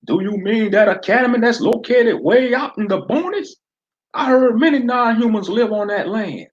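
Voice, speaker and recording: A man's voice: high voice, lively intonation, normal speed, muffled recording, pitched above the performer's own voice. A man voicing a bullying small-town sheriff with a Southern drawl, sneering and menacing. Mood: threatening.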